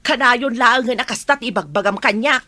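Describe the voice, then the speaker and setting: A woman's voice: a little high, normal speed, bright, forceful tone, no echo. A woman playing a stern, impatient landlady in an Ilocano radio drama. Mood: irritated, demanding. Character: stern impatient landlady demanding overdue rent.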